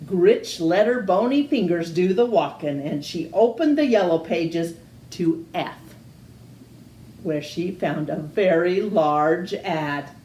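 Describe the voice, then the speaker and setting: A woman reading a picture book aloud to children in an expressive storytelling manner. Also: on the low side, slow pace, slight background noise. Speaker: storytime reader. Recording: echoey room.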